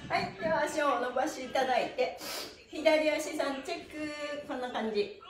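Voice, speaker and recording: High-voiced middle-aged lady teaching stretches, playful and upbeat, echoey room. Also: normal speed, distant mic. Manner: cheerful, animated, playful, calling out instructions. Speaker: stretching instructor and host. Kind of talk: exercise lesson with rhythmic counting chants. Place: small indoor room with bare walls. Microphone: camera mic from a few steps away. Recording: echoey room, away from the mic.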